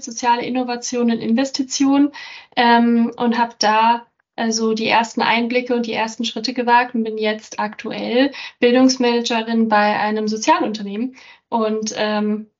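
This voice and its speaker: Female guest in her twenties, fairly high voice, casual talk, slightly dull recording. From Germany. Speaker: founder, guest.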